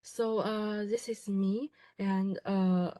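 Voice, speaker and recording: A woman's voice: medium pitch, steady tone, slow pace, dark tone. A woman, giving a self-introduction talk as a graduate student, with many fillers and restarts. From China. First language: Chinese. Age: 20s.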